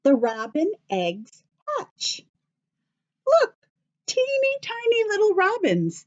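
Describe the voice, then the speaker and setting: An American woman teacher reads a children's book aloud at a slow pace. Her voice swings widely in a sing-song way as she puts questions to young listeners. The recording is slightly dull and lo-fi. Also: very high voice, huge pitch swings. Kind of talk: children's story read-aloud.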